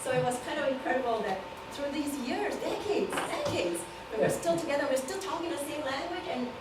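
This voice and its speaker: A woman's voice: high-pitched, lively intonation, brisk. A woman speaking informally to a gathering in a conversational, rambling way. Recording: roomy, noisy hall, distant mic.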